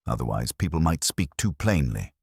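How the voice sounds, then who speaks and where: A man's voice: extremely low pitch, sing-song, normal speed, gravelly, darkish tone, breathy edge, dry recording, clean studio sound, heavily acted. A man voicing a prim, tartly witty matron in a mystery story, arch and formal.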